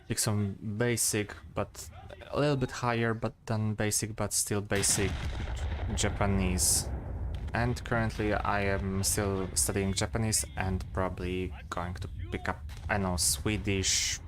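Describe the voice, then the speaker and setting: A man in his twenties with a Polish accent, chatting casually to stream viewers and thinking aloud, with pauses and fillers. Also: lowish voice, little pitch movement, slight background noise.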